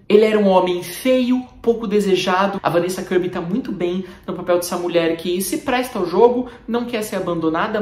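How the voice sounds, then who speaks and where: A woman with a Brazilian Portuguese accent giving a scripted film review in a measured, articulate, analytical way. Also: mid-range voice, a little mellow. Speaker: film critic. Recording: roomy, echoey room.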